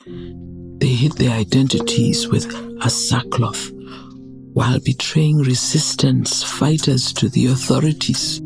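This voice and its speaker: A woman playing a wise elderly village elder and guardian who speaks slowly and solemnly. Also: rumbling low, creaky, full character voice. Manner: slow, solemn.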